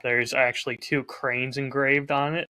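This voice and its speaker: A man's voice: mid-range voice, flat delivery, measured pace, sharp tone, no room sound. A man talking casually as a host, showing off a bottle while recording on a close lav mic. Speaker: podcast host and whiskey audio drama creator.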